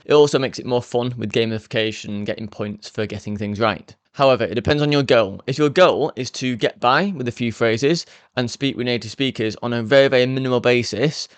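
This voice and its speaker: A man, hosting a language learning channel and explaining step by step in a brisk, upbeat way. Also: medium pitch, dry recording. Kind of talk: explainer talking to camera.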